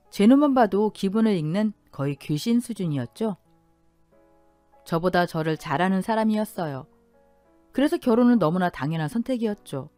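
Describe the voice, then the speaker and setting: A woman hosting and reading a first-person family story aloud in an expressive, confiding way. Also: lowish voice, no room sound, clean studio sound. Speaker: host and storyteller.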